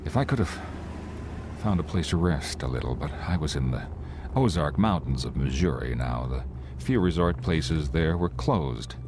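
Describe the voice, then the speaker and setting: An actor playing an anxious motorist who narrates his drive in an old-time radio drama, intense and halting as his nerves rise. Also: very deep voice, expressive, noisy background. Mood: brooding. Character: haunted, anxious male motorist recounting his cross-country drive. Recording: vintage radio recording with music underneath.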